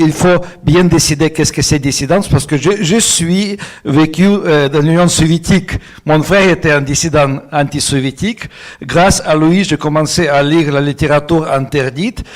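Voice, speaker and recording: A middle-aged man from Ukraine, a writer guest speaking halting, non-native French on a book-fair panel and growing heated at times. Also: mid-range voice. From Ukraine, of Russian origin.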